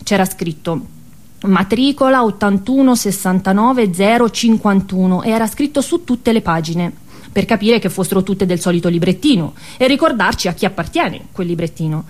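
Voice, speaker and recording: A woman's voice: medium pitch, expressive, normal speed, darkish tone. Tuscan woman performing a theatrical monologue. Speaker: stage actress performing her monologue. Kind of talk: theatrical first-person storytelling.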